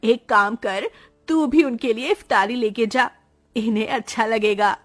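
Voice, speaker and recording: Woman acting a high-pitched, sentimental cartoon family woman. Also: unhurried, close to an everyday voice. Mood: caring. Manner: emotive, earnest. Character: sentimental, caring women of a family drama. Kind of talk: melodramatic cartoon story dialogue.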